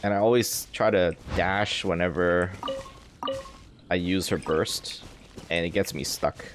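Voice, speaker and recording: A man's voice: low-pitched, a little slow, noisy background. A man giving casual live commentary while he plays a video game, with game music behind him. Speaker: game streamer. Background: loud video game music.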